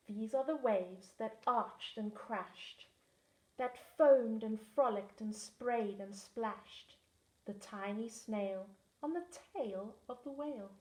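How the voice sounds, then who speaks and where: A woman's voice: fairly high pitch, takes it slowly, darkish tone. A woman teaching assistant reading a rhyming picture book aloud with a lilting rhythm. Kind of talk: storybook read-aloud. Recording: echoey room.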